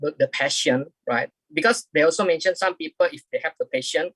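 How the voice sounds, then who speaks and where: A man's voice: fairly high pitch, fairly bright tone, slightly rough, no room sound, poor audio. Hesitant man on a video call. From Indonesia. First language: Indonesian. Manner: halting, many restarts, keeps adding "right?". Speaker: audience member asking the guest questions. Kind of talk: casual question in an online talk.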